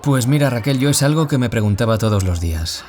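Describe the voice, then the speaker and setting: A man's voice: mid-range voice, expressive, fast talker, darkish tone, soft background music, close to an everyday voice. An actor playing a wounded young man from Spain who was bullied, confiding his story as an audio-drama interviewee with a Spain Spanish accent. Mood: fearful, bitter. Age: young adult. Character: wounded young man, former bullying victim, anguished, confiding. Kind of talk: scripted audio-drama monologue in interview form.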